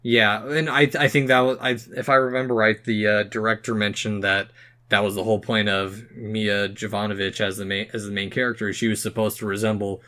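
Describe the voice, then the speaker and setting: A man co-hosting a podcast, talking casually about films and games and thinking aloud in a halting way. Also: fairly low pitch, little pitch movement, bright, forceful tone. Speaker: podcast co-host.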